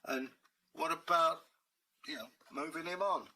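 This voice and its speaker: A man's voice: a little high, a little slow, bright, forceful tone, pitched above the performer's own voice, exaggerated voice. A man voicing a streetwise, confident male cat, talking in a laid-back, cheeky way.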